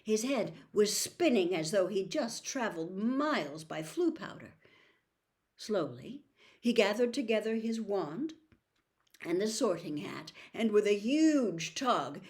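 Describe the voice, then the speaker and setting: A woman reading a storybook aloud as narrator, expressive and suspenseful, with dramatic pauses. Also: medium pitch. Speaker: audiobook reader, host.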